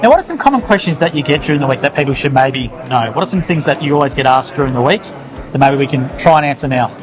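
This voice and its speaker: A man interviewing a staff member in a chatty, rapid way. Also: mid-range voice, animated, crowd noise. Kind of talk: corporate interview. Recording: music bed under the talk.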